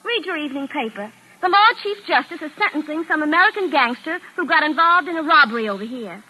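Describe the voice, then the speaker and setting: An actress playing a pert, stubbornly literal young Canadian secretary in a 1940s radio drama, matter-of-fact and argumentative. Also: female voice, high voice, animated, fast, pushed and bright, rough recording. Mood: stubborn, defiant. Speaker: actor. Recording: vintage 1940s radio recording.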